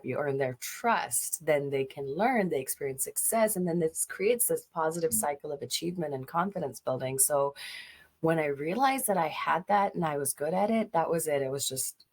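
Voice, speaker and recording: Low-voiced woman from Pakistan. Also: darkish tone. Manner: earnest, frequent fillers. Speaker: special education director. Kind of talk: casual interview answers.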